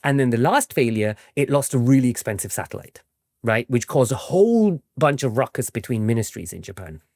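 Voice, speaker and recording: A man talks as an interview guest in a quick, highly animated voice, with pitch that moves around a lot. He explains in an informal, conversational way and often tags his points with a checking "right?" The sound is dry and close. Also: creaky, no echo. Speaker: interview guest, historian and author.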